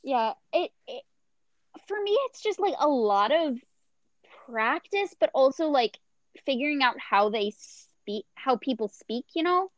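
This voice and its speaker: A young woman with a slightly high voice chats loosely on her podcast, piling up fillers as she wanders through her thoughts. The sound is dull and muffled, close and dry. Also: expressive, a little slow, dry recording. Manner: chatty, rambling, many fillers. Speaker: podcast co-host. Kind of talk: casual chat.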